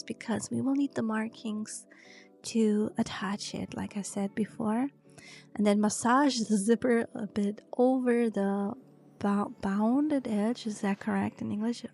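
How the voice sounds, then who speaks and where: A woman's voice: medium pitch, creaky, a little mellow. A woman with a German accent, a native German speaker, explains craft steps casually and unhurriedly as a tutorial host, correcting herself as she goes. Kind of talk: step-by-step craft instruction, casual voice-over. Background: background music.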